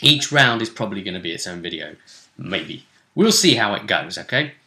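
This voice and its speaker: A man's voice: fairly low pitch, big pitch swings, moderate pace, creaky, pushed and bright. A man hosting a casual, pundit-style discussion of a role-playing game, explaining things chattily and checking for agreement. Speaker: host and game master.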